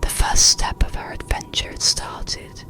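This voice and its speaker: A storyteller whispering a bedtime story in a hushed, soothing, unhurried ASMR narration.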